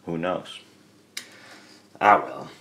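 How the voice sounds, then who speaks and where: Low-pitched Dutch-accented man, rambling. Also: extremely slow, sharp tone. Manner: hesitant, rambling, self-correcting. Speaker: hobbyist presenter. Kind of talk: casual hands-on product teardown commentary.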